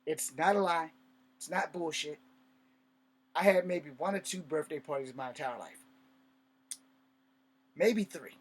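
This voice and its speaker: A man's voice: a little high, a little slow, pauses often. Blunt, bitter man in his fifties. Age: 50s. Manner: blunt, bitter, profane, emphatic repetition. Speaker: host. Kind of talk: personal vlog monologue.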